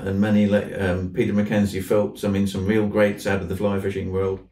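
A man in his seventies from southwest England, reminiscing in an unhurried, anecdotal way with old-fashioned turns of phrase. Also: fairly low pitch, a little mellow. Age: 70s. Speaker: guest, fly fishing instructor. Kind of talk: reminiscing interview conversation. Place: indoors in a tackle shop. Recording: roomy, slightly distant mic.

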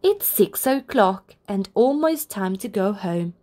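Very slow, lilting woman reading to toddlers. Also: medium pitch. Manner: deliberate, expressive phrasing aimed at small children. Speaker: children's storybook reader, channel host. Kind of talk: read-aloud of a picture book for toddlers, with soft encouraging asides.

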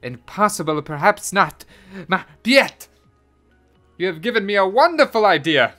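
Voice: medium pitch, very animated, a little slow, pushed and bright, faint music behind, pitched above the performer's own voice. A performer voicing an earnest, proud male mouse in a storybook, speaking in a dramatic, exclaiming way. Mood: excited.

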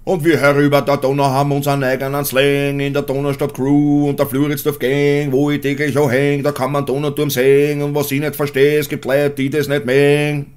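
A man's voice: a little high, monotone, brisk, hardly any pauses, a little mellow, clean tone. A man in his forties with a Viennese accent, vlogging from a small home studio and then performing a spoken-word rap, blunt and crude. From Austria. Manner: blunt, crude, laughs at his own jokes. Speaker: musician and vlogger.

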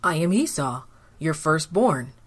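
A woman's voice: low-pitched, sing-song, measured pace, husky, exaggerated voice. A woman voicing a resentful, hot-tempered firstborn son in a children's Bible story, with blunt, brooding delivery. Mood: proud.

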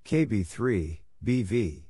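A man reads scripted radio exchanges for a practice drill. His delivery is very slow and deliberate, with short repeated phrases. The voice is somewhat husky, and the sound is very dry and close. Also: on the low side, big pitch swings, mellow, no echo. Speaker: narrator of Morse code practice drills.

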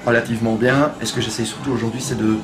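A man's voice: mid-range voice, fairly even intonation, fast. A man, chatting casually as a singer being interviewed, with frequent hesitations. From Belgium. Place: small kitchen backstage at a bar. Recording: music playing in background.

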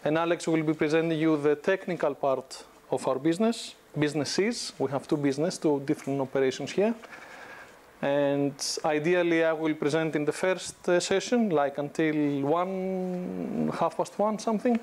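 A man's voice: fairly high pitch, normal speed. A man in his thirties from Greece, giving a conversational guest lecture in a university classroom on a lapel mic, with many restarts. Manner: relaxed, conversational, frequent restarts and fillers. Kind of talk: informal business lecture.

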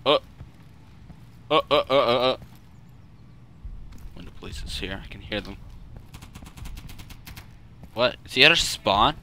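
A young man giving joking, excitable let's play commentary while playing a shooter game. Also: mid-range voice, measured pace, creaky, pauses often. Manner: goofy, joking, excitable outbursts. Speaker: gaming YouTuber.

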